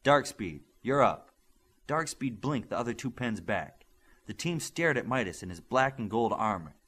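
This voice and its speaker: A man reading an audiobook aloud, with steady, expressive storytelling. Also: medium pitch, normal speed, breathy edge. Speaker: audiobook reader. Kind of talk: audiobook narration.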